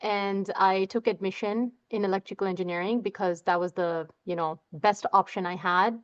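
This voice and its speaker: Halting Pakistani-born woman, smooth muffled voice. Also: mid-range voice, fairly even intonation. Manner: halting, many fillers and repeated words. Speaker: guest. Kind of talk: personal story in an interview.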